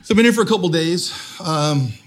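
A man's voice: fairly high pitch, lively intonation, brisk. A middle-aged man, a guest pastor who is losing his voice, preaching a sermon to a live audience in a church, telling funny stories and laughing often. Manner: humorous storytelling, laughing often.

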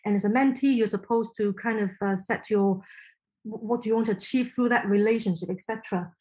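A woman's voice: mid-range voice, darkish tone, low-quality recording. A woman from Hong Kong whose first language is Chinese, answering interview questions thoughtfully with frequent restarts. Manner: thoughtful, halting, many restarts. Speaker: podcast guest.

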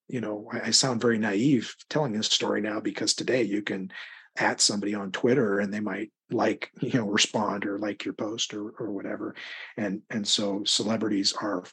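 A man's voice: lowish voice, breathy edge. A middle-aged man, an author being interviewed, talking conversationally and telling anecdotes in a rambling way, often repeating words mid-sentence. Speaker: crime novelist being interviewed.

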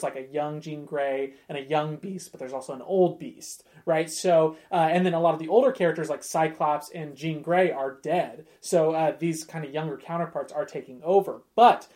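A man's voice: on the high side, a little mellow. A man chatting casually as a podcast guest, explaining things with enthusiasm.

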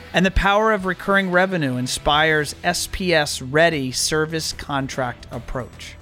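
Man, podcast host, upbeat and animated, slightly high voice, faint music underneath. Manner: upbeat, animated with repeated restarts. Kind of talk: scripted intro then casual interview.

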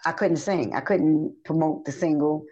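Chatty hoarse woman singer. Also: low-pitched, normal speed. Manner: chatty, animated storytelling with restarts and fillers. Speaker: singer guest. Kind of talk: casual interview chat. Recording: dull lo-fi audio.

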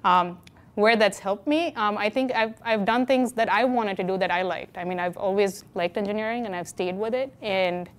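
A middle-aged woman from India, answering interview questions in a measured, reflective way, with fillers and restarts. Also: mid-range voice. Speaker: interview guest, city chief technology officer.

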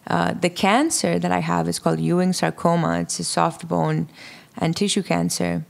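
Young woman from Pakistan, chef guest; frank, plain, heavy vocal fry. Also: fairly low pitch, level tone, moderate pace. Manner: candid, matter-of-fact, filler-heavy. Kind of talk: podcast interview conversation.

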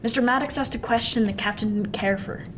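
A woman acts out a seasoned merchant sailor man for a radio play. The voice sits fairly high, wry and confiding, full of old-fashioned nautical turns of phrase. There is clear background noise around it. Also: fairly quick, natural voice. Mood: wry, explaining. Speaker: actor. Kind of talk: radio drama acting.

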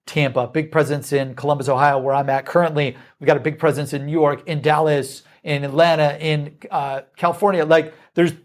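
Guest, male, 30s, fairly high voice, eager and quick, studio interview. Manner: energetic, enthusiastic, rapid lists. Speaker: sales company executive, guest. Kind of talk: casual interview answers.

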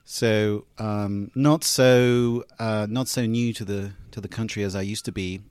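A man's voice: fairly low pitch, steady tone, measured pace, a little mellow, smooth, dry recording. An English man in his forties with an upper-class English accent, chatting as a podcast guest with dry, wry humor. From England. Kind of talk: casual chat.

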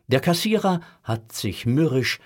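A man's voice: medium pitch, expressive, measured pace, breathy edge. A man narrating a scripted audio drama for children.

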